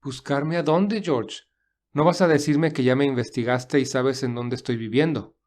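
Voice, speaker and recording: A man playing an earnest, defensive young gentleman in love in an audio drama, speaking in a measured way. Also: mid-range voice, close to an everyday voice. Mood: guarded.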